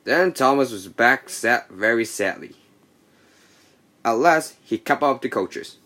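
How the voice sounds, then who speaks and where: A man narrating a children's read-along story in a halting, stumbling way. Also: mid-range voice, animated, pushed and bright. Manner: halting, stumbling reading with slips. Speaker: storybook reader.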